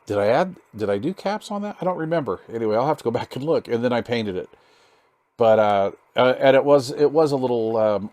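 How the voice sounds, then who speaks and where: A man giving a casual hands-on demonstration as a hobbyist, talking in a halting, easygoing, folksy way and sometimes muttering to himself. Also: mid-range voice, a little mellow, dry recording. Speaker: hobbyist presenter demonstrating radio gear.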